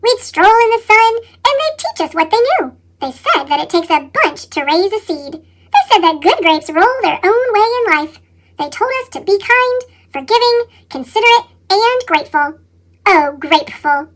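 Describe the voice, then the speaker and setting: A performer voicing a lively, animated chipmunk storyteller with a sped-up pitch effect, reading a children's picture book expressively and dramatically. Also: full character voice. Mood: sweet, reminiscing. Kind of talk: read-aloud children's picture book narration.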